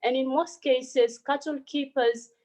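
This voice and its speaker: A woman's voice: high-pitched, fairly even intonation, measured pace, a little mellow. A woman from Sudan, giving an earnest panel presentation over her phone, with frequent "um" and "uh" fillers. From South Sudan.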